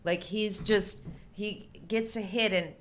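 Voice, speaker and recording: Middle aged lady chatting movies, bright punchy voice, kinda halting, bit of background rumble. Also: fairly even intonation. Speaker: co-host of a live chat show. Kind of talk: casual movie chat. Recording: low rumble and background noise.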